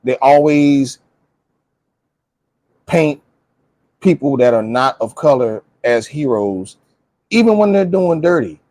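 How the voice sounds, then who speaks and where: A man's voice: medium pitch, lively intonation, takes it slowly, pauses often, dark tone, no echo. An American man in a casual, opinionated group discussion, speaking emphatically in colloquial language and repeating phrases for stress. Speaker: panelist on a talk show.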